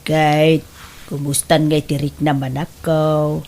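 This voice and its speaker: A woman's voice: deep voice, level tone, measured pace, a little mellow. A woman playing a doting elderly mother and grandmother in an Ilocano radio drama, tender and fussing. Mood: tender, wistful.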